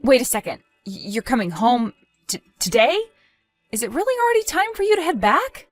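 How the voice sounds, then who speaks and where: Woman acting a lively, flustered, evasive wife. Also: on the high side, a little fast, no room sound, faint music behind. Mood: defensive, indignant. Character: evasive, flustered wife, sweet then indignant. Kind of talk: dramatized text-message story narration.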